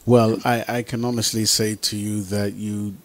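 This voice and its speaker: Guy hosting a radio panel show, fairly low voice. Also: level tone, normal speed. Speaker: radio talk show host.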